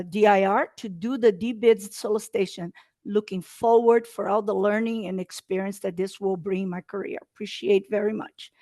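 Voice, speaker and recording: A woman's voice: medium pitch, a little mellow, no room sound, studio quality. Woman with a Brazilian Portuguese accent presenting. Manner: earnest, talks in non-native English with slight slips. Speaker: state procurement presenter. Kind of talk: presenting a webinar.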